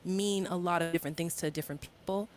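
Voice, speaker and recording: A woman from the United States, a guest singer, speaking in a reflective, halting, conversational way in an interview. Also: lowish voice, fairly even intonation, a little hiss or hum.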